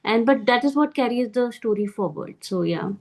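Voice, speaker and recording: A woman's voice: a little high, expressive, normal speed, darkish tone, dry recording. A woman shares her views in a casual book club discussion.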